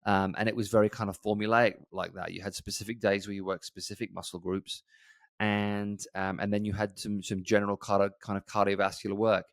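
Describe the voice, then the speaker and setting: A man in his forties hosting his own fitness podcast alone, talking casually to his listeners with many pauses and restarts. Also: on the low side, flat delivery, no echo, studio quality. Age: 40s. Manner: rambling, many ums and restarts, conversational. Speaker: gym owner and podcast host. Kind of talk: casual solo podcast talk.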